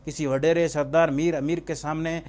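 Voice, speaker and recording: A man with a Pakistani accent and a slightly high-pitched voice teaches in an informal lecture style. He keeps putting questions to the listener and answering them himself, slipping English terms into his explanations. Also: flat delivery, moderate pace, a little mellow. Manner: switches between Urdu and English terms. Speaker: law teacher. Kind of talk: informal exam-prep lecture with rhetorical questions.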